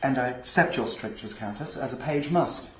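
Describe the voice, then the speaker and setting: An actor playing an earnest, awkward young aristocratic officer, formally polite and hesitant, in a radio drama. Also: male voice, mid-range voice, moderate pace, roomy sound, a little hiss or hum, lightly acted. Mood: smooth, earnest.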